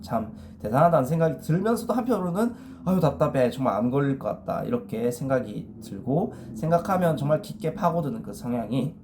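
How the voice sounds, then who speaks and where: A young man with a cold talks casually through a numbered list, leaning on short filler words between points. His pitch sits in an ordinary middle range. A faint hiss runs under the voice. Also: very animated, brisk, hardly any pauses, a little mellow. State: has a cold. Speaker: MBTI video creator. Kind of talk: casual explanatory monologue.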